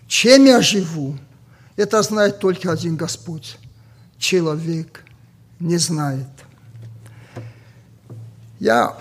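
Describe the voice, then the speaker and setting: A man from Ossetia with an Ossetian accent, a native Ossetian speaker preaching a sermon slowly and deliberately, pausing often with fillers. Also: high voice. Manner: slow and deliberate with many pauses and fillers.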